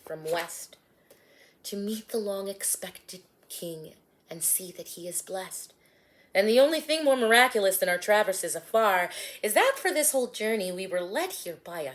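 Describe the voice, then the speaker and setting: A woman reading a solemn travelling wise man in a rhyming storybook, measured and reverent. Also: lowish voice, natural voice.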